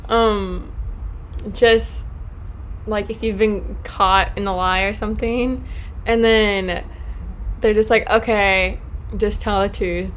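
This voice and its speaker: A woman's voice: medium pitch, fairly even intonation, slow pace, pauses often, a little hiss or hum. A teenage girl talking casually and a little unsure, answering questions about idioms. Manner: casual, unsure, laughs easily. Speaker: teacher's daughter.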